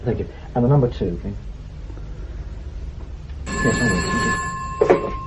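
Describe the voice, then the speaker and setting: An actor playing a polite, deferential junior officer in a radio spy drama, with a husky, clipped delivery. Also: male voice, mid-range voice, huge pitch swings, slow pace, background noise. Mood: dutiful.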